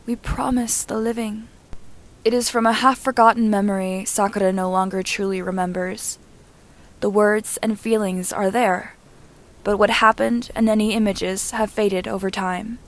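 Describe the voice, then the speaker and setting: A woman reading fan fiction aloud as an amateur audiobook narrator, in a measured, deliberate manner with short phrases. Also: mid-range voice, fairly even intonation.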